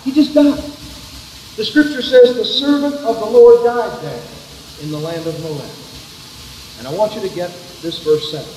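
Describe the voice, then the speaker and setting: A male preacher with a fairly high voice, working from soft scripture reading up to a loud, fervent pitch. He is heard from a distance in a big church hall with a long echo and a faint hum of the room behind him. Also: moderate pace, pauses often. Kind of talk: sermon. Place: church sanctuary. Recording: echoey hall from far off, faint room noise.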